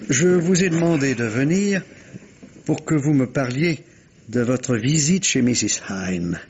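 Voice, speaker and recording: An actor playing a courteous, probing police inspector in a vintage radio mystery, with polite, hesitant, insinuating questions. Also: male voice, medium pitch, animated, unhurried, darkish tone, muffled recording, a little hiss or hum. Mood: measured, probing.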